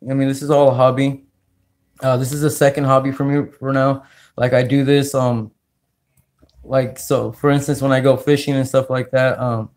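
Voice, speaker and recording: A man from California hosting a casual livestream, talking slowly with many fillers as he greets viewers. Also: mid-range voice, a little mellow. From California, United States. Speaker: livestream host. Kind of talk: casual livestream chat while sorting coins.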